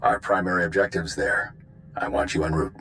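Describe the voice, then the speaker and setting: Low-voiced, grave spy commander. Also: male voice, a little fast, a bit bright, slight background noise. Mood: measured, commanding. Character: authoritative male intelligence commander, measured and grave. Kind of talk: video game voice acting.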